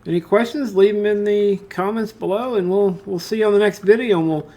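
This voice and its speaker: A man giving an informal screen-share software tutorial, talking through his steps and thinking aloud as he works. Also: sits high, brisk. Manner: casual, thinking aloud, addresses viewers as guys. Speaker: tutorial host.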